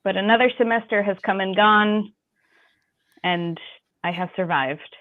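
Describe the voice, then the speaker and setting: A woman on a video call with slightly muffled, dry sound. She chats casually as a panelist in a wry way, at a medium pitch. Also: a little slow, dry recording. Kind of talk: casual chat. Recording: dull lo-fi call audio.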